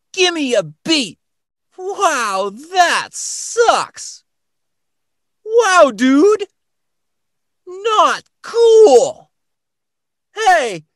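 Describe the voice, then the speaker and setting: A boastful stunt robot brags in a bright, high, lively voice. The sound is dry and close. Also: no echo, heavily acted. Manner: boastful showman. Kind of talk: game character voice lines.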